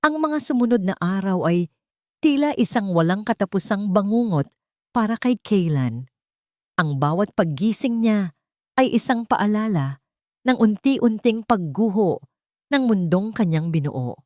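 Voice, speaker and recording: A woman's voice: mid-range voice, expressive, measured pace, darkish tone, no echo, clean studio sound. A woman narrating a scripted dramatic audio story.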